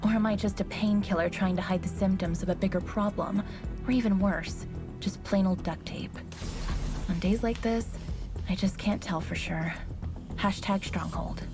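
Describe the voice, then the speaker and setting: A woman's voice: medium pitch, steady tone, moderate pace, natural voice. A woman voicing an earnest, brooding young superhero policewoman in a video game cutscene. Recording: game music behind.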